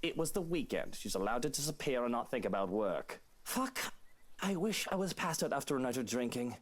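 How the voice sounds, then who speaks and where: A man's voice: mid-range voice, sing-song, fairly quick. Sarcastic rich guy, wildly swooping delivery. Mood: irritated, sarcastic. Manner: sarcastic, haughty, drawling. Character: spoiled, irritable rich man, sarcastic uncle.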